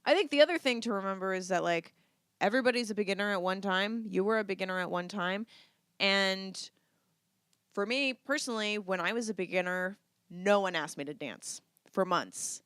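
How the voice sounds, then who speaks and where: A woman's voice: medium pitch, moderate pace, fairly bright tone, clean tone, no room sound, studio quality. A woman co-hosting a podcast, chatting casually and giving advice in a playful, teasing way. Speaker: podcast co-host, dance teacher.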